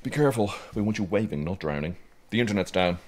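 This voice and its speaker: A man's voice: lowish voice, lively intonation, fast talker. A man of about fifty with an Irish accent acting a grumpy, sardonic retreat manager in a radio drama, dry and mock-grandiose. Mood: bossy, deadpan. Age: middle-aged.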